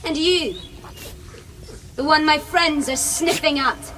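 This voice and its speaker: A woman's voice: high-pitched, slow, sharp tone, a little hiss or hum, heavily acted. An actress playing a wild young woman raised among wolves in a radio drama, cool, commanding and unafraid.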